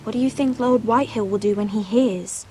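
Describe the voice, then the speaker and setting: A woman's voice: mid-range voice, fairly quick, dark tone, soft background music, lightly acted. A woman playing an earnest, anxious young noble sister in a dramatic video game, with a pleading manner. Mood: uneasy, thoughtful.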